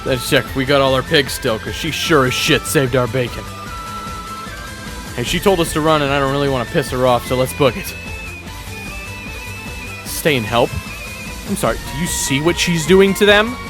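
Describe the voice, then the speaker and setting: A man's voice: mid-range voice, unhurried, long pauses, pitched above the performer's own voice, natural voice. A man playing a brash, foul-mouthed young adventurer in an audio roleplay, talking in a wisecracking way. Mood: wry. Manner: wisecracking, swears freely. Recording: loud game music underneath.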